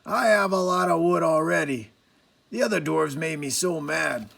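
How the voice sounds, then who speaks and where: The storybook reader voicing a grumpy little male dwarf who talks slowly and gruffly. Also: mid-range voice, fairly bright tone, slight background noise. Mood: sulky. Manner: gruff, slow and sulky.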